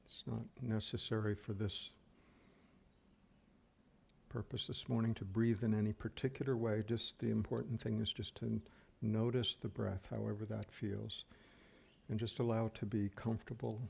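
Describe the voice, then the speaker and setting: A man leading an unscripted guided meditation as a teacher, speaking gently and unhurriedly with long pauses. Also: on the low side, gravelly. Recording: steady background noise of fans and birds. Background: fans, birdsong and insects.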